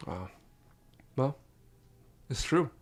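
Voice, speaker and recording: Encouraging podcast host man, mid-pitched voice. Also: lively intonation, extremely slow. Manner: affirming, encouraging, asks probing questions. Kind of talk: conversational interview hosting.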